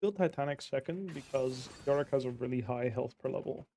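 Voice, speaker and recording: A man streaming a game, casually answering viewer questions in a relaxed, friendly way. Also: mid-range voice, fairly quick, creaky, slight background noise. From Belgium. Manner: relaxed and friendly, with easy fillers.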